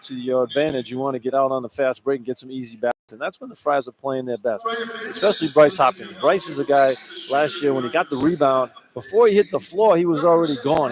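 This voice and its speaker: A man's voice: mid-range voice, poor audio. A man working as a color analyst, talking conversationally through live sports analysis on the radio. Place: courtside in a sports arena.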